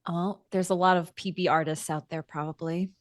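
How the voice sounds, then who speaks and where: A woman playing a tabletop roleplaying game, slipping casually between narrating and speaking in character, with hesitant pauses. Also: lowish voice, fairly even intonation, dry recording, studio quality.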